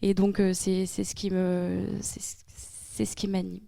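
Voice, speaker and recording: Mellow-voiced young French woman. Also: mid-range voice, measured pace, creaky, dry recording, clean studio sound. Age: young adult. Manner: hesitant, many fillers, then animated. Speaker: student in a debate. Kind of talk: classroom debate.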